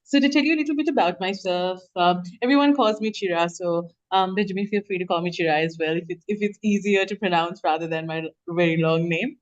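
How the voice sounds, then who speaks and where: Sri lankan woman lecturer, lowish voice, muffled call sound, lively polished intro. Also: fairly quick, few pauses. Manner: warm, polished, animated. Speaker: law lecturer.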